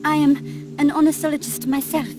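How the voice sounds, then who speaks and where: A woman acts out a stranded French birdwatcher in a video game, her voice high and French-accented. She is earnest and plaintive, with music playing behind her. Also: level tone, moderate pace, lightly acted. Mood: composed. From France. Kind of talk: video game cutscene acting.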